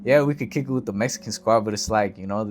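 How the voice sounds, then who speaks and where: A man's voice: fairly low pitch, fast, a little hiss or hum. A young man, telling stories in casual podcast chat, laid-back and full of slang and swearing. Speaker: podcast guest.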